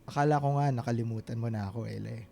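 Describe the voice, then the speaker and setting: An actor with a Filipino accent playing an earnest, devoted man gently courting an old love in a radio drama, pleading and halting. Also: medium pitch, creaky. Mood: wistful. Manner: pleading, halting, stumbles over words. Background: background music.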